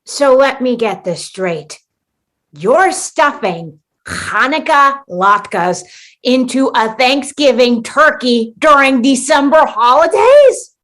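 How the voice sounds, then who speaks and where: A woman's voice: high voice, sing-song, takes it slowly, fairly bright tone, heavily acted. An actress playing an exasperated, sarcastic young woman stuck in a sitcom world, in a comedic radio play. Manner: sarcastic, incredulous.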